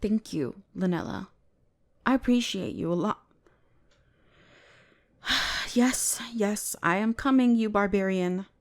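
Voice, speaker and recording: A woman's voice: mid-range voice, slow pace, pauses often, a little mellow. A woman playing a bratty, haughty female elf mage, bossy, exasperated and condescending, in a scripted roleplay audio drama. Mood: flustered, indignant.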